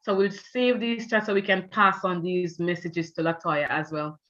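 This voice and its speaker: A woman's voice: on the low side, steady tone, normal speed, highs cut off. Jamaican woman hosting an online panel. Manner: warm, with many "you know" fillers. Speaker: event host.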